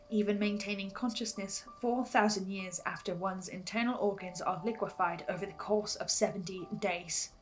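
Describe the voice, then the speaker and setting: A woman with a mid-range voice presents scripted theory analysis over music. She words things precisely and delivers them with an earnest, theatrical touch. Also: mid-range voice. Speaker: host of a fan theory video series. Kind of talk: scripted analysis narration with dramatic asides.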